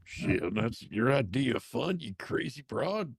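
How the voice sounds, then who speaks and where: A man's voice: medium pitch, very animated, measured pace, creaky, husky, dry recording, studio quality, full character voice. A man playing a cocky, flirtatious guy in a romance drama, drawling and teasing as he speaks. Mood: amused drawl.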